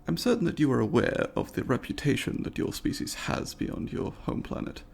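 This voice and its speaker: A man's voice: mid-range voice, big pitch swings, a little fast, gravelly, a little mellow, full character voice. A man voicing a polite, eager alien student in an ASMR roleplay, speaking formally and precisely to the listener in an inquisitive, apologetic way. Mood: formal, businesslike.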